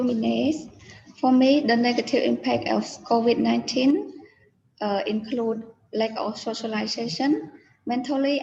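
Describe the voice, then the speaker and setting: A young woman student answering carefully on an online panel over a video call, with deliberate phrasing. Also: a little high, darkish tone, a little hiss or hum. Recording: dull video call audio.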